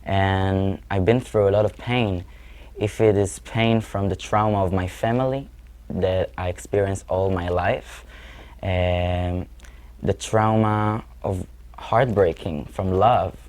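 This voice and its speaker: A young man in his twenties with an Israeli accent answers interview questions in a fairly low voice. He speaks earnestly and pauses to find the words. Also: steady tone, darkish tone. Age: 20s. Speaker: guest musician.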